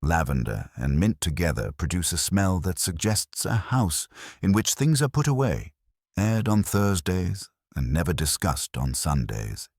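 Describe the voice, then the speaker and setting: A man reading a cosy literary mystery as a scripted audiobook narration, in a measured, dryly wry and understated manner. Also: low-pitched, lively intonation, creaky, a little mellow, breathy edge, no echo. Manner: dry, measured, wryly understated.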